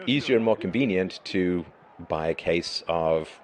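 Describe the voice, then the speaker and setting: A hoarse man putting on the voice of his naive young daughter, in a raised, light tone. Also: low-pitched, animated, measured pace. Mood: innocent.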